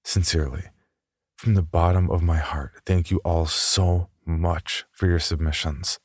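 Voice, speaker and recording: Guy podcast host, really low voice, dry close mic, informal announcements. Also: fairly even intonation, a little slow, husky, no echo.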